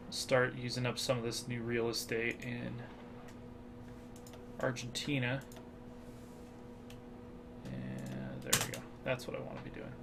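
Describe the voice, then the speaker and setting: A man's voice: mid-range voice, vocal fry, noisy background. A man with an American accent hosting a strategy game playthrough, talking it through casually with many fillers. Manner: thinks aloud with fillers. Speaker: gaming channel host.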